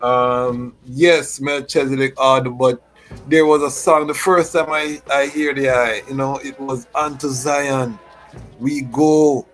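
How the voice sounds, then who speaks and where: A man born in Jamaica and raised in Toronto, interviewing casually in a relaxed way. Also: on the high side, low-quality recording. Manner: relaxed, repeats "you know what I mean".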